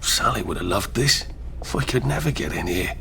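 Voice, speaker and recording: A man's voice: lowish voice, lively intonation, normal speed, creaky, noisy background. A man with an English accent playing a polite, timid, wry everyman hero who mutters dry, self-deprecating remarks in a video game. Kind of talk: video game cutscene acting.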